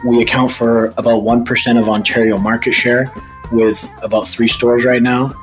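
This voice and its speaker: A man's voice: medium pitch, little pitch movement, moderate pace, soft background music. A man talking casually as an interview guest and business owner. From Egypt, by birth.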